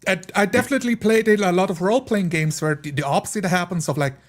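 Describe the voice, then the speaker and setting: A man's voice: high voice, quick pace. A man talking casually and at length as a tabletop player, rambling emphatically and quoting imagined speakers. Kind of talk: casual podcast-style discussion.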